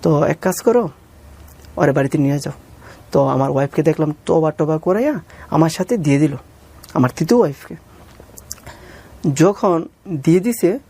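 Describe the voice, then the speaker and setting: A man's voice: on the high side, creaky, warm tone. A man with a Bangladeshi accent telling his own story as an interview guest, deferential and re-telling conversations as he goes. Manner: deferential, calls the interviewer sir. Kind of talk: personal storytelling interview with reenacted dialogue.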